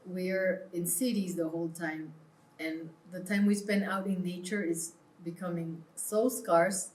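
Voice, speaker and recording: Lower-voiced woman in an echoey room. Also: steady tone, unhurried. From Peru. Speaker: interview guest, clothing brand founder. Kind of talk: conversational interview answers. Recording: roomy, echoing sound.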